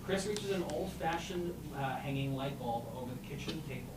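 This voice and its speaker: Male narrator, live script read-through, halting informal delivery, roomy distant recording, loud background noise. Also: fairly high pitch. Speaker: narrator reading stage directions at a live table read.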